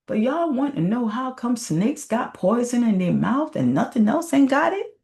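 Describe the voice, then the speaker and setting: A woman's voice: on the high side, very animated, moderate pace, gravelly, a little mellow, pitched above the performer's own voice. A woman voicing a cantankerous old man in a folktale, scolding in folksy Southern dialect. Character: cantankerous old grandfatherly man telling tall tales.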